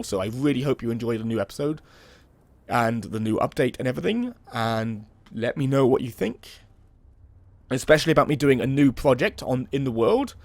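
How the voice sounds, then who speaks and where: Hoarse young man narrating gameplay. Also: medium pitch, no room sound. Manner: relaxed, rambling, many "um" and "kind of". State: hoarse from a cold. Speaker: let's play host. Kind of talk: casual gameplay commentary.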